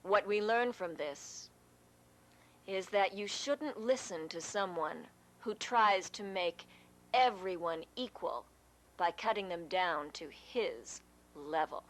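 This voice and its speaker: A woman's voice: medium pitch, slow, fairly bright tone. Woman narrating a children's fable. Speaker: storyteller.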